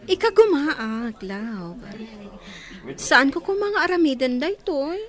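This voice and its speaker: A woman's voice: high voice, sing-song, takes it slowly, exaggerated voice. A woman playing a scheming young girlfriend who acts sweet, in a radio drama. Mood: sweet, coy.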